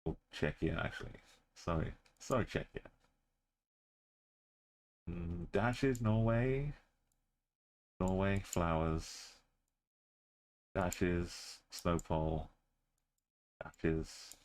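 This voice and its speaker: A deep-voiced man talks slowly through his game. He keeps to short, clipped phrases, mostly talking to himself. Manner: muttering, terse thinking aloud. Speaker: streamer. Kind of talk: commentary while playing a game.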